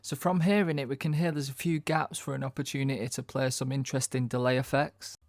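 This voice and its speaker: A man with a medium-pitched, noticeably creaky voice talks through a production tutorial in an informal way. He thinks aloud as he works, and the sound is dry and close, with little room. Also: flat delivery, fast talker, dry recording. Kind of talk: casual software tutorial narration.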